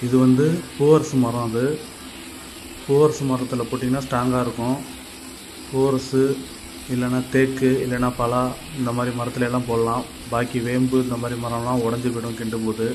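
Man with a Tamil Nadu accent, carpenter, plain step-by-step explaining, monotone, workshop noise behind. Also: mid-range voice, moderate pace. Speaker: carpenter teaching on his channel. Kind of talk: casual how-to narration.

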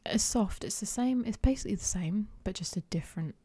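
A woman whispering softly for an ASMR clothing try-on, hesitant and unsure, with many pauses and ums. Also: medium pitch, animated, slightly rough, no room sound. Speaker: ASMR creator.

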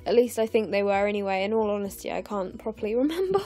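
A young English woman in her twenties, narrating her scrapbooking in a chatty, rambling way with lots of fillers, her voice croaky and tired late at night. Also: medium pitch, lively intonation, fast, warm tone. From England. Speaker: scrapbooking vlogger. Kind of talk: casual voice-over narration of a craft process. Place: home, recorded late at night.

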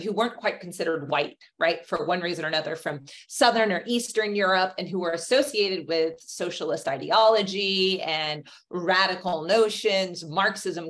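A woman's voice: fairly low pitch, a bit bright. A woman in her forties explaining things as an expert panelist on a video call, often checking in with a questioning tag. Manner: checks in with "right?". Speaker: historian panelist. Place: indoor room, video call. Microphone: video call computer mic.

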